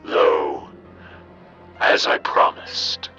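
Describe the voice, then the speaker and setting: A man's voice: extremely low pitch, flat delivery, sharp tone, lo-fi sound, faint music behind, heavily acted. A man voicing an ancient, sadistic spirit in a radio drama, speaking in a pompous, savouring way. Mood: gloating. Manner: slow, pompous, savouring each word. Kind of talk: radio drama villain monologue.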